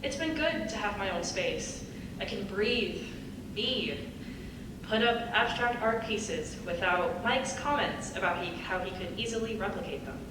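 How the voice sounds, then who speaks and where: Woman playing an independent ex-partner, medium pitch, stage reading, big echo and noisy room. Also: expressive, a little slow, lightly acted. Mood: guarded. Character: supportive but exasperated adult woman, separating from partner. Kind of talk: live dramatic script reading. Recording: distant echoey hall, clear background noise.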